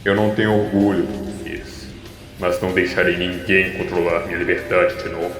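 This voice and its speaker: A man voicing a calm, proud, defiant genetic creature who speaks in measured, solemn, terse phrases in a fan-made battle drama. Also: low voice, reverberant, in a large hall, soft background music. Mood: resolute.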